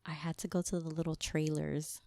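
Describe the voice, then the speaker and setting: A woman's voice: deep voice, level tone, a little fast, gravelly, warm tone, dry recording. A woman, chatting casually as a podcast guest, often laughing and slipping in Spanish phrases. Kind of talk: casual conversation.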